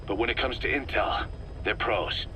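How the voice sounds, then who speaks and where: An actor playing a stern, suspicious military commander in a video game, giving briefings in an emphatic, clipped way. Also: male voice, medium pitch, normal speed, background noise, close to an everyday voice. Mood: firm, instructive.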